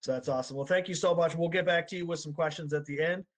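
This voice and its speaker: A man hosting an online panel, upbeat and quick when he gets going. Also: fairly high pitch, steady tone. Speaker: panel host.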